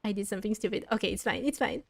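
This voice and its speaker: A woman's voice: mid-range voice, rapid-fire, vocal fry, slight background noise. A woman streaming a video game with casual, reactive commentary, talking to herself in short bursts.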